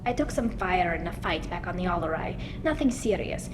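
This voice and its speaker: A woman's voice: lowish voice, lively intonation, moderate pace, background noise, lightly acted. A woman voicing an earnest young alien starship engineer in a video game, explaining things in a chatty, self-deprecating way. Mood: reassuring, sheepish.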